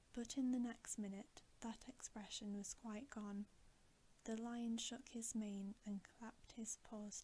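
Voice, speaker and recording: A woman reading a bedtime story as ASMR in a soft, breathy whisper, gentle and soothing. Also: medium pitch, a little hiss or hum.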